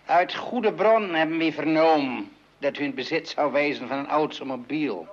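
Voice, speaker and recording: An actor playing an obsequious, nosy tax official, apologetic yet officious, in an old radio comedy. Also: male voice, on the high side, moderate pace. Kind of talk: mid-century Dutch radio comedy acting. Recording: dull old radio recording with noise.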